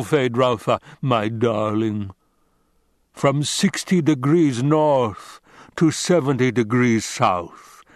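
A man voicing a fat, scheming baron in an audiobook, with a rumbling, growling bass and a grandiose, savoring delivery. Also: no room sound, studio quality. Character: fat scheming villainous baron, pompous and menacing.

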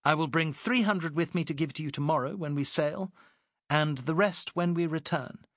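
A man voicing a poised British woman working as a secret agent, speaking crisply and persuasively. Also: fairly high pitch, normal speed, a bit bright, dry recording, clean studio sound, voice pushed up.

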